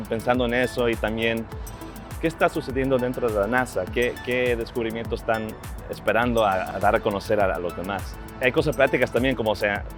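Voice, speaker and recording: A man in his thirties, born in Ecuador, speaking earnestly about his work and family in a personal interview, often restarting his phrases. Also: on the low side. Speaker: social media strategist. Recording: clear music bed behind voice. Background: music.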